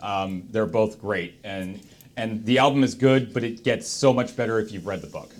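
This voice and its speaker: A man hosting a panel in casual chat, thinking aloud in halting, broken fragments. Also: on the low side. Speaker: host of a charity stream panel.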